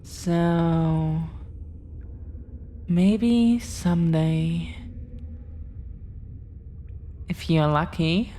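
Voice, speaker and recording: A woman playing an affectionate, proud dragon-blooded girlfriend in an intimate audio roleplay, talking in a teasing way with long pauses. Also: lowish voice, warm tone. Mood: coy, confiding. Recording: clear background noise.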